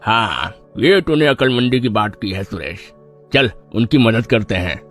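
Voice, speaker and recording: A man's voice: on the low side, expressive, measured pace, fairly bright tone, faint music behind. A man playing a pompous, calculating politician in a children's story. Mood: pleased. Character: self-serving male politician, pompous and calculating. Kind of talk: children's moral story voice acting.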